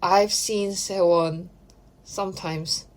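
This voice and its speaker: Korean woman podcast co-host, lowish voice, hesitant with lots of fillers. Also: fairly even intonation, slow. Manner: hesitant, many fillers, frequent laughs. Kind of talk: casual chat.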